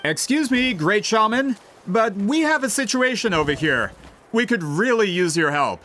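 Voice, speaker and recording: Polite, fretful man with lively pitch. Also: normal speed, a little hiss or hum. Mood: anxious, polite. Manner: courteous, fretful, formal. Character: polite, worried male book publisher seeking help. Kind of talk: video game voice acting.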